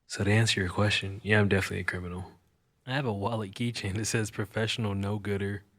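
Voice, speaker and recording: An actor playing a cynical, wisecracking Martian criminal fixer in an audio drama monologue, with wry, world-weary delivery and deliberate pauses. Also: male voice, on the low side, fairly even intonation, a bit bright. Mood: sly, boastful.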